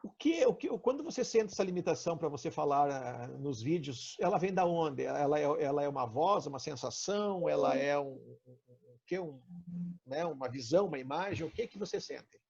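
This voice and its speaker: A Brazilian man leads a live coaching session in a conversational, probing way. His voice is fairly high for a man, with a creaky, gravelly grain. The audio is dull and lo-fi, like an online call. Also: expressive, fairly quick, darkish tone. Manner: explanatory, asking probing questions.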